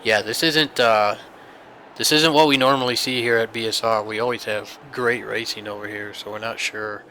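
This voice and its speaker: A man's voice: lowish voice, steady tone, moderate pace, pushed and bright, slight background noise, rough recording. A young man commentating a sim race, congested from a sinus infection, chatty and rambling with many fillers. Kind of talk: sim racing broadcast commentary.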